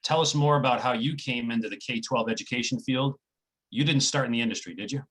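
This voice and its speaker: A man moderates a career webinar over a video call, brisk and upbeat as he moves through prepared transitions. His voice sits at an ordinary middle pitch. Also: a bit bright, breathy edge, low-quality recording. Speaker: panel moderator.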